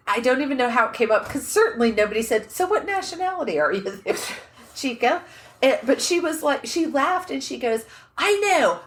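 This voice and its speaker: A woman co-hosting a podcast, chatting casually and telling stories with frequent laughter. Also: fairly high pitch. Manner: animated storytelling, laughs often. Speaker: podcast co-host. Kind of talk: casual chat. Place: room at a writers' conference.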